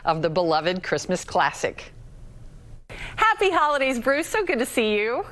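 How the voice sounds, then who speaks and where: A woman's voice: on the high side, huge pitch swings, moderate pace, a bit bright. A woman interviewing as a TV host in a friendly, informal, chatty broadcast style. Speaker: TV news host interviewing a guest.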